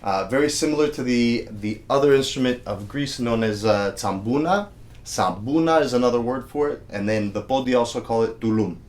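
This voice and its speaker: A man's voice: medium pitch, fairly quick. A man giving a casual, friendly video lesson on a traditional instrument, counting beats aloud. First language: English. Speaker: music teacher.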